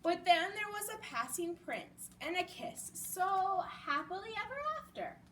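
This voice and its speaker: A woman's voice: extremely high pitch, expressive, measured pace, a bit bright, voice pushed up, exaggerated voice. A woman voicing a flustered, bossy female headquarters chief in a storybook, scolding and exclaiming. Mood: brisk, explanatory. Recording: distant mic with background noise.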